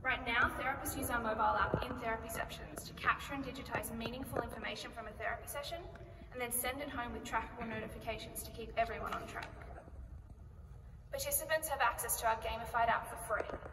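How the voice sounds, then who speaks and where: An Australian woman delivers a rehearsed pitch in a clear, measured voice that sits a little high. She sounds far from the microphone, and a long echo from a big hall trails after her words. Plenty of background noise runs under everything. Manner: clear, measured presentation delivery with rhetorical questions. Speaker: company founder pitching. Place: large conference hall. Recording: echoey hall recorded from far off, noisy.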